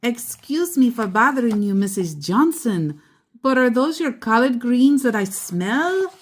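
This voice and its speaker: Woman voicing a courteous, slow-spoken storybook grandmother. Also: a little high, lively intonation, voice pushed up. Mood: polite coaxing. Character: polite, warm-hearted grandmother neighbour carrying food to share.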